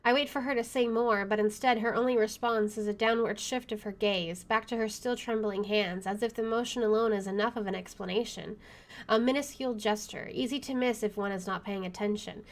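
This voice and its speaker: A woman doing let's play commentary, reading game text aloud in an expressive, dramatic way. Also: medium pitch, a little fast. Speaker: video game streamer. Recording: background noise and game ambience.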